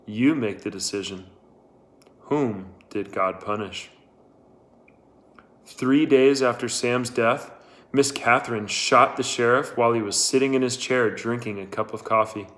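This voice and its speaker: A man reading a story aloud as a scripted narration, measured and deliberate. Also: medium pitch, a bit bright. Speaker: audiobook reader.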